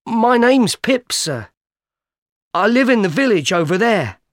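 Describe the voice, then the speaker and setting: A man's voice: sits high, big pitch swings, measured pace, no room sound, pitched above the performer's own voice. A scared young boy, played by a male reader, pleads in a raised, timid voice. The storybook reading is dry and close-miked. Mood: frightened. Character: frightened young village boy. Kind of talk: audiobook character voice.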